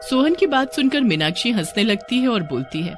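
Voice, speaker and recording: A woman narrating a scripted story in an expressive storytelling manner. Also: fairly high pitch, brisk, faint music behind.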